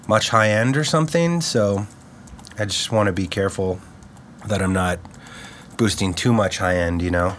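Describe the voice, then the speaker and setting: A man chatting as a podcast guest while stuffed up and congested, relaxed and agreeable with short replies. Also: on the low side, normal speed, pauses often, a little hiss or hum. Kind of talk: casual chat.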